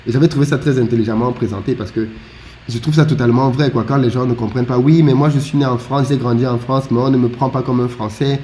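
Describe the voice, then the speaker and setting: A man with a mid-pitched voice speaks reflectively and agreeably in a relaxed discussion, laughing lightly from time to time. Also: quick pace, slight background noise. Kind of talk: conversational discussion.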